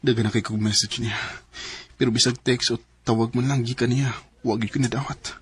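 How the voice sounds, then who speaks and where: An actor playing a devoted, earnest young boyfriend in a radio drama, with a Cebuano Filipino accent. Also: male voice, mid-range voice, steady tone, brisk, lightly acted. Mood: lonely, worried.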